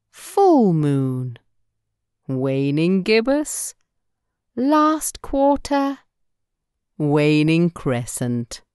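A woman voicing the Moon in a children's bedtime story, explaining itself gently in a measured, instructive way. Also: low voice, no room sound, clean studio sound, heavily acted.

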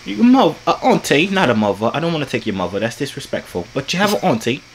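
A man's voice: very animated, fast, a little hiss or hum, rough recording. A young man in casual prank chat on a video call, putting on a fake British accent, jokey and playful in a small bedroom. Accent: fake British put on over American. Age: young adult. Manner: playful, jokey, bursts into loud high exclamations.

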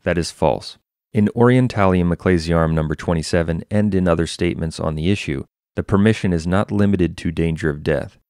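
A low-pitched male narrator delivers scripted religious commentary. He is formal and emphatic, stating each point as a firm declaration. The recording is dry and close. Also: brisk, darkish tone, clean tone, no room sound.

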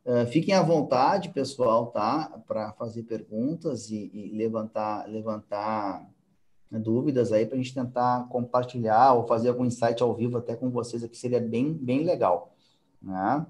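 A man's voice: medium pitch, measured pace, a little mellow. A man in his forties hosting a live video call, summing up points and inviting viewer questions, ending phrases with tag questions. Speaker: live show host. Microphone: headset over video call.

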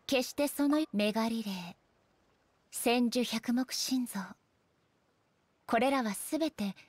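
Composed, high-voiced shogun goddess played by an actress. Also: female voice, lightly acted. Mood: reflective. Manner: formal, measured, stately. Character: composed, solemn female shogun deity, formal and reflective. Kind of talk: Japanese anime game voice acting.

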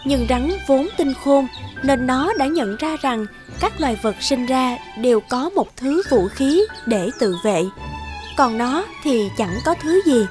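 Woman, storybook narrator, high-pitched, scripted delivery, background music. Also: expressive, a little slow. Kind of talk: scripted fairy-tale narration.

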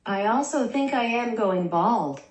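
A synthetic woman's voice reading a young vlogger's lines in a deadpan way, heard in a small bedroom through the camera mic. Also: on the high side, a little slow, reverberant. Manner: deadpan, dry jokes. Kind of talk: text-to-speech vlog narration.

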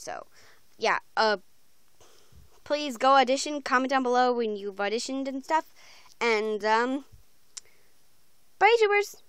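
High-pitched, clear young woman, chatty. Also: a bit bright, no room sound. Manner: informal, rambling, slangy. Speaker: video creator announcing voice auditions. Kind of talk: casual chatty vlog. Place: small room at home, bedroom. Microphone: handheld phone, close by.

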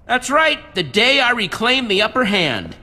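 Wry, high-voiced young hero. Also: male voice, sing-song, a little slow, pushed and bright, heavily acted. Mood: smug, amused. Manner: wry, casual, cocky. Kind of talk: animated video game voice acting.

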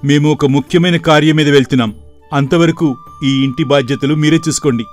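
A man voicing an earnest, excitable young village brother in an animated moral story, with emphatic delivery. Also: on the high side, fairly quick, lightly acted. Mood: eager, earnest. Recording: voice over background music.

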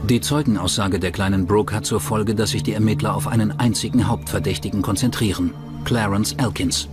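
A man's voice: on the low side, fairly even intonation, brisk. A man reading scripted narration for a true-crime documentary. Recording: music under the voice. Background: music.

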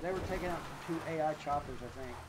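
High-voiced excitable man gaming, noisy. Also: racing. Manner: excitable, repeats callouts. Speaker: gamer in a group livestream. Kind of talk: casual team gaming chat. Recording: game gunfire and noise behind voice. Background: video game gunfire.

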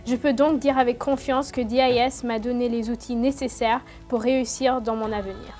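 A woman's voice: a little high, steady tone, moderate pace, darkish tone. A young woman student, earnestly reciting a scripted testimonial for her school. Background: music.

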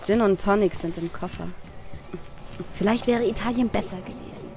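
A woman's voice: medium pitch, normal speed, lightly acted. A woman playing a young, self-absorbed, condescending well-to-do wife in a surreal radio play. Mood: wistful. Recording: music under the voice.

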